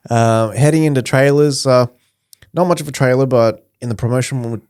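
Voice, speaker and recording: A man's voice: mid-range voice, fairly quick, darkish tone, clean tone, no room sound, clean studio sound. A man co-hosting a casual podcast, laughing often as he leads the segments. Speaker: podcast co-host. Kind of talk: casual chat.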